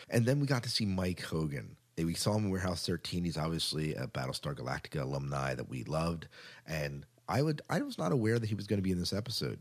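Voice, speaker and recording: A man's voice: low-pitched, husky. A man co-hosting a podcast in casual chat about TV news, stressing points by repeating his words. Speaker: podcast co-host.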